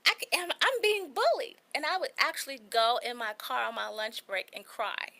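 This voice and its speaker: A woman's voice: high-pitched, sing-song, normal speed, creaky, pushed and bright. A woman in her forties with a small, light voice, telling her own story as a guest on a talk show, earnest and candid. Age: 40s.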